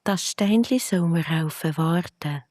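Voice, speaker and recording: A little star from a bedtime story, acted out by a narrator, speaking high and childlike. The character is impatient and curious, questioning everything with a huffy edge, in a close, dry recording. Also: a little slow, darkish tone, no room sound, lightly acted. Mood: hopeful, impatient.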